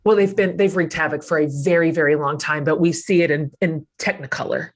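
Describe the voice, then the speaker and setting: A woman's voice: deep voice, steady tone. Reflective woman hosting a podcast. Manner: reflective, thinks aloud with many pauses and fillers. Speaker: podcast host. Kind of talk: conversational podcast interview.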